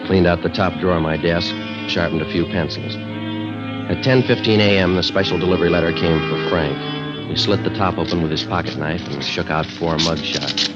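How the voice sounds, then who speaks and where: An American actor playing a terse, deadpan police detective sergeant in a 1950s radio drama. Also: male voice, low voice, a little fast, loud music behind the voice, close to an everyday voice. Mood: businesslike, dry. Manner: clipped, deadpan. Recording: muffled vintage 1950s radio broadcast.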